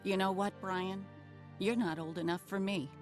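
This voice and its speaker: A woman's voice: medium pitch, steady tone, normal speed, faint music behind, natural voice. An actress voicing an elderly woman with fussy, old-fashioned speech in a cartoon. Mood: stern. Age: older.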